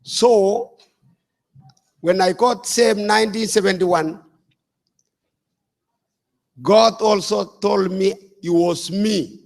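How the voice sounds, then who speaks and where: An older man from Uganda preaches with a high voice. His delivery comes in slow, measured phrases. He speaks as an apostle giving a sermon. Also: fairly even intonation, gravelly.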